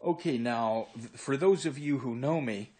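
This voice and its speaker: A man of sixty with a Pennsylvania Dutch accent, vlogging in a rambling, unhurried way with many filler pauses, recorded on a handheld phone in his room. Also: medium pitch, a little mellow. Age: 60s. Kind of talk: casual walk-through monologue. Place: his own room indoors. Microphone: phone camera held by speaker.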